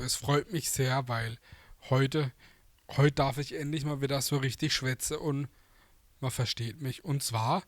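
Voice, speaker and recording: A man's voice: medium pitch, no room sound. A man with an Alemannic Baden German accent, hosting a studio interview and asking loose, rambling questions. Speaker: host. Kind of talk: casual radio interview.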